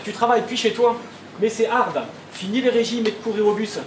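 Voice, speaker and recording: A man's voice: sits high, steady tone, brisk. A man battle rapping a cappella, firing insulting punchlines at his opponent. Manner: insulting, punchline-driven delivery. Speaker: battle rapper. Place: event hall. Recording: echoey hall with background noise.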